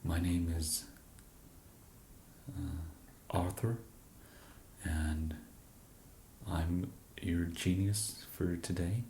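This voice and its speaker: English man, ASMR roleplay, deep mellow voice, roomy echo, faint noise. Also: fairly even intonation, extremely slow, pauses often. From England. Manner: soft-spoken, hesitant with many fillers. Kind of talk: ASMR roleplay as a shop genius.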